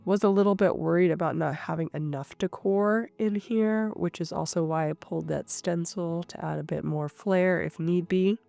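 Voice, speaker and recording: A woman with a cold, sounding nasal and a little rough, calmly narrating her craft session as the host of her channel. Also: fairly low pitch, moderate pace, hardly any pauses. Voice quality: slightly rough. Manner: calm, explanatory, thinking aloud. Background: soft guitar music.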